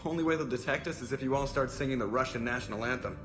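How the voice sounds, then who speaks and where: A man plays a wisecracking pilot in a video game. He talks fast in a bright, cutting voice with sardonic humour. Faint music sits behind him. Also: medium pitch, close to an everyday voice.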